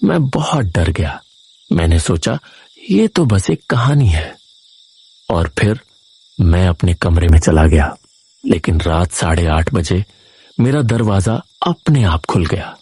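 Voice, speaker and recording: A man's voice: low voice, expressive, slow pace, darkish tone, breathy edge. A man narrating a scripted first-person horror story in a dramatic, suspenseful manner.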